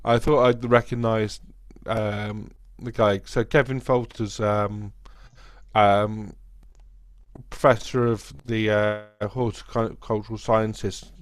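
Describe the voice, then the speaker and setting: Slow, hesitant low-voiced man. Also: level tone. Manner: hesitant, many ums. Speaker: podcast panelist. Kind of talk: casual live-stream chat.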